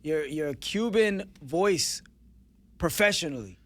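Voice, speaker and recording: A man's voice: a little high, animated, slow, fairly bright tone. A man co-hosting a radio show, teasing and skeptical in casual studio banter. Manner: teasing, skeptical, laughing often. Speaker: radio co-host.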